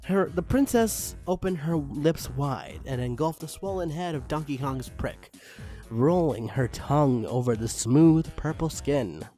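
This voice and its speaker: A man's voice: fairly high pitch, measured pace. A man reading fan fiction aloud in a mock-dramatic style, breaking off for amused asides. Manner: animated, breaks off to comment. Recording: music under the voice. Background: background music.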